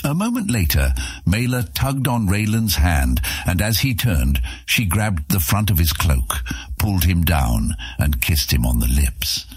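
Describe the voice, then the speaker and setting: An extremely deep male narrator with a husky grain, reading scripted fantasy fiction. The recording is dry and close, like a studio audiobook. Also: big pitch swings, unhurried, no room sound.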